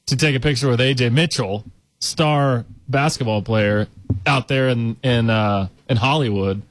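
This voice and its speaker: Talky guy thinking out loud on a sports pod, mid voice, dry sound. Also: medium pitch, no echo. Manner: chatty, rambling, thinks out loud. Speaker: co-host. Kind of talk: casual sports chat.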